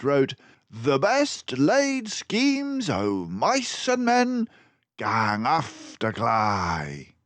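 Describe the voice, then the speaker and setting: A man voicing a stern, calm mouse detective with a formal, declamatory manner in a storybook. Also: on the high side, dry recording, pitched above the performer's own voice, exaggerated voice.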